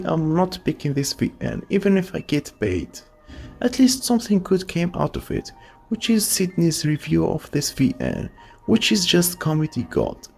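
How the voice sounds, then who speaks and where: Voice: medium pitch, creaky, darkish tone, slightly rough. A narrator reading a scripted voice-over commentary with opinionated, wry asides. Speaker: video essay narrator.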